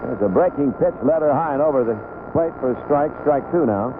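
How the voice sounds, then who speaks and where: A man calling baseball play-by-play live on the radio in short, unhurried phrases. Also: mid-range voice, animated. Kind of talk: live radio sports commentary. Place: outdoor ballpark broadcast booth. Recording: tinny, noisy old AM radio broadcast.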